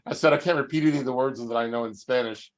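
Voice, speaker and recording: A man's voice: mid-range voice, very fast. A man in his seventies chatting casually in a group and joking about his age. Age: 70s. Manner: joking, self-deprecating. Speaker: panelist.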